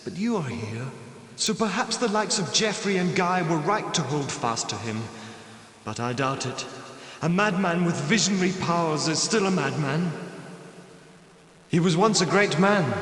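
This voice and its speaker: Mournful ghostly knight, drenched in reverb. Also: male voice, on the high side, fairly bright tone. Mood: bitter, regretful. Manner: mournful, formal, archaic phrasing. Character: ghost of tormented, betrayed medieval Grail knight, sorrowful and bitter. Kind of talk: scripted video game character dialogue. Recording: echoing voice with long cavernous reverb.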